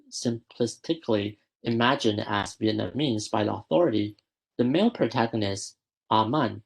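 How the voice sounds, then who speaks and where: A young man presenting a prepared academic paper at a student research school, reading in a measured way and often correcting himself mid-word. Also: mid-range voice, vocal fry, darkish tone, breathy edge. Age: young adult. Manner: measured, with frequent self-corrections.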